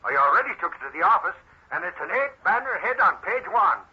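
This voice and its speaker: An actor playing an excitable, folksy newspaper reporter in an old-time radio drama. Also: male voice, high-pitched, fast, husky, exaggerated voice. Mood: excited. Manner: blustery, folksy. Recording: muffled old radio recording.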